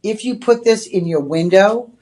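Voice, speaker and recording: A woman with a Boston accent, hosting a live home shopping show and pitching products in a chatty, rambling way. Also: moderate pace, mellow. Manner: chatty, rambling, with many "you know"s. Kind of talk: live on-air sales pitch. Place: TV shopping studio.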